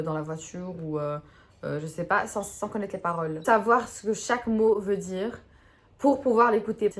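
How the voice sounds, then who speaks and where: A young woman from Belgium, chatting casually to her camera, giggly and self-mocking. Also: medium pitch. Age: young adult. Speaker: YouTuber.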